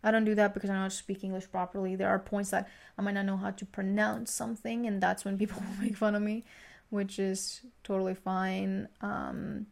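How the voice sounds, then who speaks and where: A young woman with a slight Greek accent talks casually on a podcast. Her voice is strongly creaky and stays within a fairly flat range of pitch. She explains her thoughts in a rambling, reflective way. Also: normal speed. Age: young adult. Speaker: podcast co-host. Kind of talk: casual conversation, sharing work experiences.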